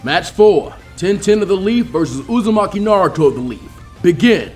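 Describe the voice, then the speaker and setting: A man reading a story, playing a ninja exam proctor who announces matches in a loud, formal, declamatory way. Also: sits high, big pitch swings, moderate pace, pitched above the performer's own voice. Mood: announcing. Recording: music behind the voice.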